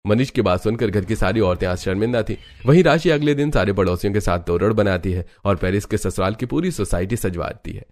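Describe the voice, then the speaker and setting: A man's voice: on the low side, brisk, few pauses, darkish tone. A man narrating a scripted family cartoon story as its storyteller. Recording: music bed under the voice.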